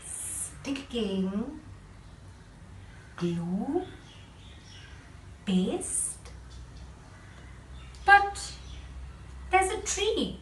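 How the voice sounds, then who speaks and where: A woman teacher reading a picture book aloud to children in a slow, expressive storytelling manner, speaking directly to her young listeners. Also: high voice, slight background noise.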